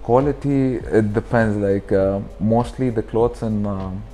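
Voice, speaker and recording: Mellow low-voiced young man. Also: fairly even intonation, a little slow, faint music behind. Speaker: interview guest, clothing brand founder. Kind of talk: casual interview talk. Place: furnished indoor lounge. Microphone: lapel.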